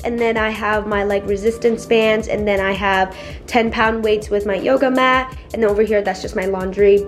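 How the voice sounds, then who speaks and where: Bubbly teen girl, slightly raspy. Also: a little high. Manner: bubbly, chatty, says "like" and "literally" a lot. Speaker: vlogger. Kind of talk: casual room tour.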